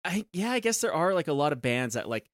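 A man's voice: fairly high pitch, sing-song, fast talker, dry recording, clean studio sound. A man co-hosting a podcast, chatting casually about a film and rambling with lots of filler words. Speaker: podcast co-host.

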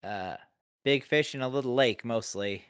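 A man co-hosting a podcast in casual chat, dry and halting with many restarts. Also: medium pitch, clear, resonant tone, no room sound. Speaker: podcast co-host.

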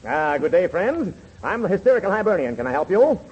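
A man's voice: sits high, very animated, a little fast. A man playing a brash, shameless used car salesman with hearty patter, in 1940s radio comedy style. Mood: hearty, slick. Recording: muffled, very noisy 1940s radio recording.

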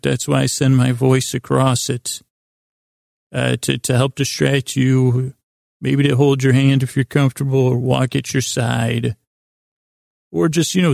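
Gravelly, creaky man rambling softly through a monologue. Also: mid-range voice, no room sound, studio quality. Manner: meandering, halting, repeats and trails off mid-thought. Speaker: podcast host. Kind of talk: rambling, improvised bedtime monologue.

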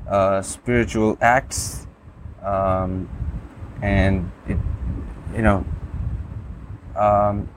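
A man's voice: lowish voice, flat delivery, drawn-out words, long pauses, a little hiss or hum, low-quality recording. Hesitant man, native Urdu speaker. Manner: hesitant, many fillers and restarts. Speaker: app reviewer. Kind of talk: demonstrating a phone app with a screen reader.

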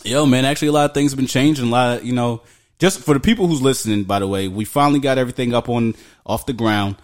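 Joking, loud young man podcaster. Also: mid-range voice, fast talker. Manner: loud, joking, talks over his brother. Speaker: podcast co-host. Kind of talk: casual chat. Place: home studio.

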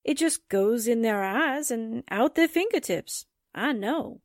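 A woman's voice: fairly high pitch, expressive, measured pace, dry recording, studio quality. A woman playing a breezy, wisecracking sidekick in a 1940s style radio drama, slangy and teasing.

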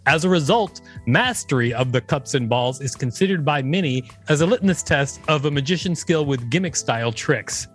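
A man's voice: on the high side, animated, normal speed, few pauses, husky, soft background music. A man co-hosting a podcast, reading an encyclopedia page aloud and adding casual commentary. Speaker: podcast co-host.

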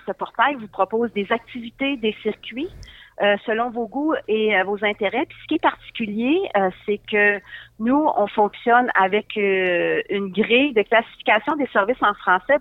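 A woman's voice: a little high, dry recording. A woman from northern Ontario, a tourism advisor, answering interview questions with many hesitant fillers. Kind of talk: remote interview. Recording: tinny phone-line sound.

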